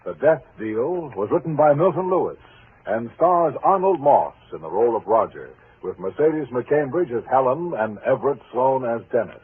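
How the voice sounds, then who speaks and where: A man's voice: medium pitch, sing-song, moderate pace, husky. A man announcing a mystery program in the style of 1940s radio. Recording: narrow, phone-like old radio broadcast.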